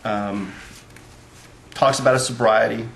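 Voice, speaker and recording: A man's voice: medium pitch, fairly even intonation, measured pace, vocal fry, slight background noise. A man giving a casual spoken book review, rambling along with lots of fillers.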